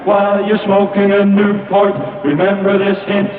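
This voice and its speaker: A woman's voice: mid-range voice, completely flat delivery, normal speed. A woman singing a vintage cigarette advertising jingle on old radio. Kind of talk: sung 1960s-style cigarette radio jingle. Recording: muffled old radio ad, music behind.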